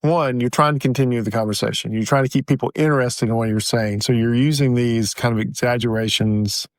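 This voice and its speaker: A man's voice: medium pitch, steady tone, fairly quick, no room sound. A man co-hosting a podcast in casual conversation, explaining things through examples and anecdotes. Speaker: podcast co-host.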